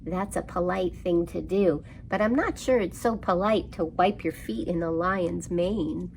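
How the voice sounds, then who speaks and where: A woman's voice: on the low side, a little slow, vocal fry, a little mellow, slight background noise, poor audio. A woman hosting an interactive storytime for young children, speaking in a sing-song way and putting questions to her listeners.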